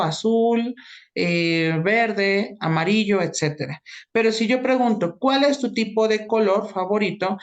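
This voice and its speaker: A woman teaching an online class, explaining step by step and often checking in with her students. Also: mid-range voice.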